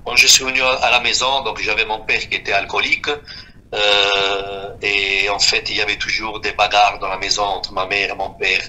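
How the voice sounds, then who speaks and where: The sort of man heard giving his testimony on a community radio slot: fifties, low-pitched, feeling his way haltingly from phrase to phrase. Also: flat delivery, sharp tone, rough recording. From Switzerland. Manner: halting, repeating himself. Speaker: guest giving a personal testimony. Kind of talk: unscripted spoken testimony.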